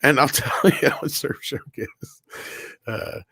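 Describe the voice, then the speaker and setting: A man's voice: mid-range voice, slow pace, pushed and bright, breathy edge, no room sound. A man co-hosting a livestream, chatting informally and reading out viewer comments, rambling and swearing freely. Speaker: co-host of an online panel show.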